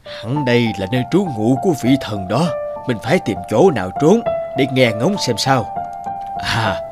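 A man's voice: medium pitch, sing-song, moderate pace, lightly acted. A man voicing a kindly, determined wandering priest in a fairy-tale cartoon, speaking earnestly. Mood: wary. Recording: music behind the voice.

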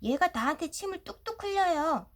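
Feisty little rabbit sister for a storybook played by a woman: high, grumbling, indignant, very animated delivery. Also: moderate pace. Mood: alarmed, indignant. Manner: indignant, tattling, shouting. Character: feisty, suspicious, grumbling young girl rabbit, big sister. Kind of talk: storybook character voice.

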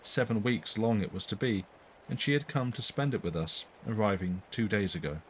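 A man's voice: lowish voice, moderate pace. A man reading an old-fashioned story aloud as a livestream narrator. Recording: faint background noise.

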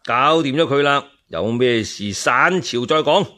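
A man voicing a calculating new emperor with outward politeness in a Cantonese storytelling drama, controlled and smooth-talking. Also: mid-range voice, lively intonation, a little slow, sharp tone.

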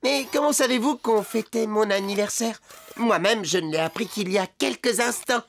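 An actor voicing a polite, naive, excitable robot in a French children's cartoon, speaking in a precise, earnest way. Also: medium pitch, normal speed, vocal fry. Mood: earnest, puzzled. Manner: precise and earnest, with beeps and buzzes. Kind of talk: French-dubbed preschool cartoon acting. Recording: music under the voice.

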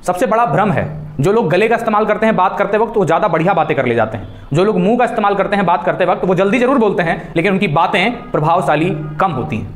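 A man's voice: high-pitched, expressive, fast. A man in his thirties with an Indian accent, instructing a class emphatically as a voice coach. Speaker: voice and spoken English coach. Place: small indoor classroom. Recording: echoey room.

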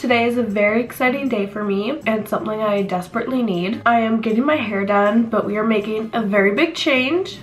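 Sniffly young woman chatting to camera. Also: a little high. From Canada. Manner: chatty, upbeat, lots of "like" fillers. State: sniffly, voice a little off from a cold. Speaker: vlogger. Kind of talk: casual chatty vlog to camera. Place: small bedroom, indoors. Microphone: compact camera on a desk at close range.

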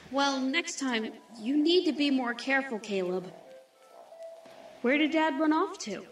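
A caring young sister acted by a woman speaks with weary, measured drama in a comic dub. Her voice is fairly high, with clear music underneath. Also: animated. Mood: exasperated care. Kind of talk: amateur comic dub acting.

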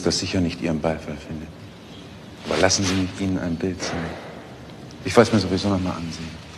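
An actor playing a suave, cynical career criminal with eloquent, ironic phrasing in a German crime drama. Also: male voice, sits low, background noise. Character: suave, cynical male career criminal, self-assured and unrepentant.

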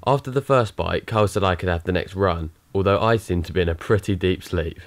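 A man's voice: deep voice, brisk. A young man talking casually as a fishing companion in a vlog. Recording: clear background noise.

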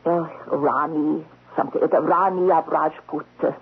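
An actress playing a talkative, blunt, folksy housekeeper in a radio mystery drama. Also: female voice, low voice, fairly even intonation, moderate pace, slightly rough, heavily acted. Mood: gossipy. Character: chatty, blunt housekeeper with a gossipy streak. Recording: muffled, noisy old radio broadcast.

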